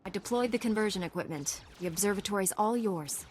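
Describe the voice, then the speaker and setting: Woman as a composed, mid-pitched warrior heroine. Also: fairly quick, slight background noise, natural voice. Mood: calm, resolute. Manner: calm, assured, formal. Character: composed, confident female warrior monk heroine. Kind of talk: video game voice acting. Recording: faint music behind the voice.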